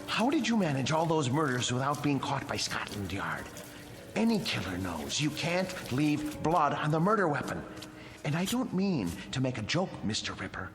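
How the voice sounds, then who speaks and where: An actor playing a secretive, disturbed male caretaker of wax figures in a radio drama, halting and evasive. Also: mid-range voice. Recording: music under the voice.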